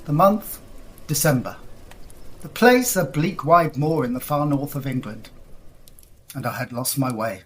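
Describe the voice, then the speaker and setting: A man's voice: medium pitch, lively intonation, a little slow, long pauses, a bit bright, slight background noise. An actor playing a distressed, insistent young huntsman in a period Gothic audio drama, speaking in formal old-fashioned diction. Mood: grave, weary. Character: distressed lost huntsman recounting his ordeal, insistent young husband.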